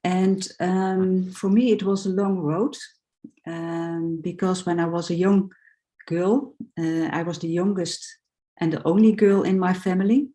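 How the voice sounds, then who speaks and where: Slow, hesitant Dutch woman. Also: fairly low pitch, steady tone, dark tone. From Netherlands. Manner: hesitant, searching for words, many fillers. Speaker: guest speaker. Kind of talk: personal sharing in a talk.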